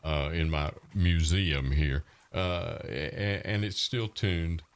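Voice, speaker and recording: A man's voice: low voice, slow pace, smooth. A man, chatting casually and reminiscing in a folksy, emphatic way. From rural southern US, grew up on a farm. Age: 60s. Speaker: radio veteran and podcast host.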